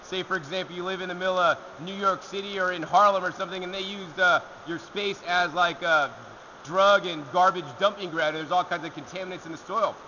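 A young man hosting a gardening show, answering viewer questions to camera outdoors in a rock alcove, energetic and gesturing as he talks. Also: high-pitched, a little fast, highs cut off, slight background noise. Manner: energetic, talks with his hands.

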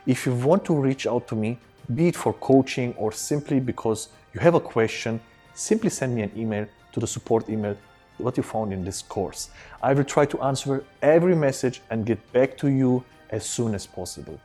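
A man's voice: mid-range voice, normal speed, husky, faint music behind. A man in his thirties, a German native speaker and fitness coach, introducing himself to camera with energy and lots of hand gestures, on a lapel mic in a large indoor room. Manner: energetic, gestures a lot with both hands. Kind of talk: direct-to-camera course introduction. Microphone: clip-on lapel mic.

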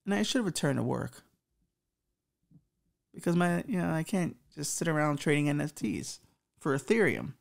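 A man's voice: a little high, big pitch swings, moderate pace, gravelly, pauses often. A man in his thirties with a slight lisp, hosting a podcast and rambling profanely through relationship advice. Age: 30s. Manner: profane, rambling, self-mocking. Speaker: podcast host.

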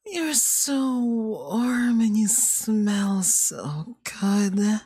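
A woman voicing a possessive, manipulative young femboy yandere boyfriend in ASMR roleplay, soft, breathy and cloying, coaxing with veiled menace. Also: medium pitch, expressive, drawn-out words, dry recording, studio quality, full character voice. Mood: doting, coaxing.

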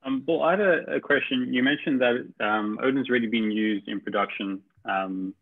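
Hesitant male questioner, gravelly, tinny call audio. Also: medium pitch. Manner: halting, many ums and repeats. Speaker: meetup attendee asking questions.

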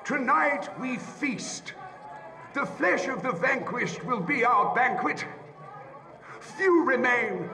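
A man's voice: very high voice, bright, forceful tone, full character voice. A man playing a savage, triumphant cannibal survivor, speaking with grandiose ceremony in a game audio log. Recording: echoing hall, slightly distant.

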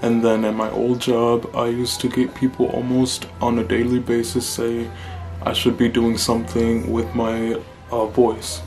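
A man in his twenties with a deep voice, chatting casually through a Q&A vlog in a small room, blunt and relaxed. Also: unhurried, faint music behind. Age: 20s. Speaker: vlogger.

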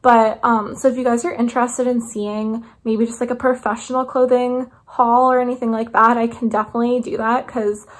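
A woman's voice: a little high, little pitch movement, a little fast, few pauses. A woman, chatting casually and enthusiastically to camera like a vlogger. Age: 20s. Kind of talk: casual haul chat to camera. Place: small living room indoors.

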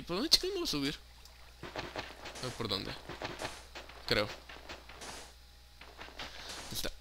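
A man's voice: medium pitch, background noise. A young man with a Mexican Spanish accent, chatting casually and joking as he narrates his own Minecraft play, swearing freely and dropping in English words. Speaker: gaming YouTuber.

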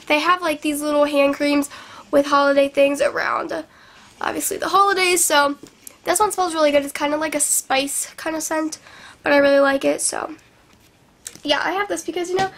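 A woman's voice: sits high, creaky, fairly bright tone. A young woman vlogging from her bedroom, rambling in a bubbly way with lots of "like", sounding sick and sniffly. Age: young adult. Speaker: beauty vlogger. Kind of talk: casual chatty vlog. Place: small bedroom indoors. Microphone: camera in front of her at arm's length.

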